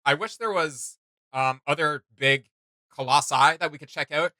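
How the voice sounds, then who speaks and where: Bright, forceful man chatting casually. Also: a little high, moderate pace, dry recording, clean studio sound. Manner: rambling, enthusiastic, playful sound words. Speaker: reaction video host. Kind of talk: casual fan chat.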